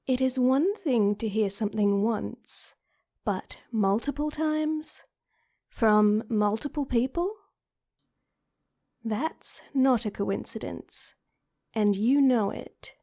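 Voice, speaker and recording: A woman playing a composed, persistent noblewoman in an audio drama, wry and probing in a measured way. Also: fairly high pitch, dark tone. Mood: cautious, probing.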